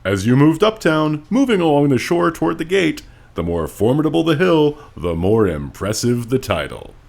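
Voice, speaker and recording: A man's voice: fairly high pitch, big pitch swings, normal speed, gravelly, darkish tone. Dry, wry male podcast co-host. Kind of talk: casual comic book discussion with dramatic read-alouds.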